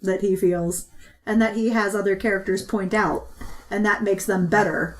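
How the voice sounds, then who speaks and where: A woman's voice: mid-range voice, fairly even intonation, normal speed. A woman and podcast creator talking earnestly and reflectively in a casual group conversation.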